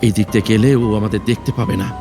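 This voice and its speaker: A man's voice: fairly low pitch, steady tone, very fast, creaky, over music. A man playing a protective young prince in a fairy-tale audio drama, giving cautious, urgent, dramatic commands. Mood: tense warning.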